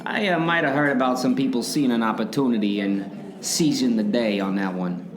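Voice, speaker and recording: A man's voice: mid-range voice, normal speed, natural voice. A man playing a cagey, streetwise underground kingpin and bartender, evasive and wisecracking in a comic radio play. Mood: cagey, cool. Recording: roomy echo, faint background noise.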